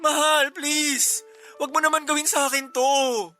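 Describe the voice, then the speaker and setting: A man's voice: extremely high pitch, little pitch movement, measured pace, bright, forceful tone, faint music behind, heavily acted. A man playing a devoted young boyfriend in a Tagalog radio drama, speaking with emotional, imploring insistence. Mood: pleading, hurt. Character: devoted, pleading young boyfriend and office co-worker.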